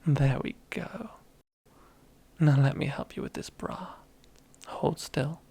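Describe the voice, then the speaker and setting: A woman's voice: low-pitched, takes it slowly, gravelly, warm tone, husky, exaggerated voice. A woman playing a domineering, teasing female boss in an ASMR roleplay, half-whispering in a seductive, commanding way. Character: domineering, teasing female boss relishing power over old rival.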